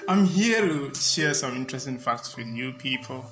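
A man's voice: mid-range voice, animated. Podcast host, male, young adult, Ghanaian accent. Informal first-person storyteller who stumbles and doubles back on words, with a music bed underneath. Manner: halting, repeats words. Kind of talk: casual personal storytelling to listeners.

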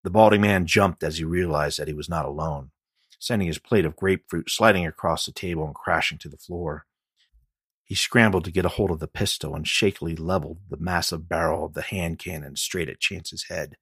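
A man's voice: low voice, brisk, fairly bright tone, husky, dry recording, clean studio sound. A man reading a scripted mystery audiobook as its author and narrator.

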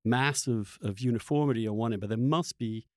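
A man's voice: medium pitch, brisk, a little mellow, no echo, clean studio sound. A man hosting a conversational interview, earnest and emphatic, often repeating words and restarting as he gets worked up. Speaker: podcast host interviewing a guest.

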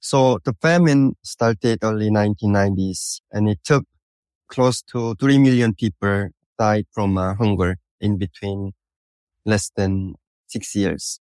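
A man in his thirties from North Korea with a Korean accent, answering interview questions in a halting, thoughtful, explanatory way. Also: fairly low pitch, a little mellow, clear, resonant tone, no echo. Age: 30s. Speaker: podcast guest recounting his life.

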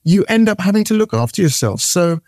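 Man, middle-aged, interview guest, mellow dark tone, dry close sound, animated, repeats words. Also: sits high, brisk, dry recording. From born in Nigeria, raised from age eight in the UK. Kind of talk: casual conversational interview.

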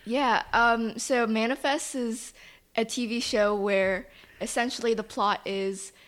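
A woman's voice: on the high side, steady tone, slow, a bit bright. A young woman hosting a casual podcast, upbeat and friendly as she interviews her father on a shared microphone. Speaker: podcast host.

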